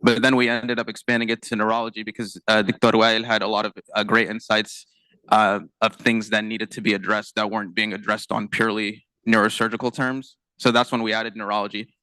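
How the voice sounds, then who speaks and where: A man's voice: lowish voice, level tone, fairly quick, sharp tone, no echo, low-quality recording. A young man speaking as a physician on a conference panel, casual, with many fillers. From United States. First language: not Arabic. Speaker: panelist, internal medicine physician.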